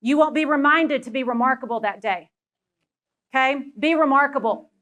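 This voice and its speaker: A woman with a Texan accent, giving a motivational talk to a live audience in an energetic, personal way and speaking straight to the listeners. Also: high-pitched, normal speed. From Texas. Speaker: keynote speaker.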